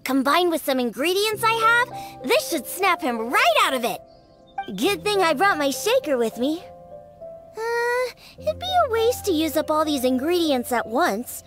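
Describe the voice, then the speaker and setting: A woman's voice: extremely high pitch, sing-song, measured pace, over music, exaggerated voice. A woman voicing a small, exasperated young cat-eared daughter in a video game, scolding and matter-of-fact. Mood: dry, exasperated.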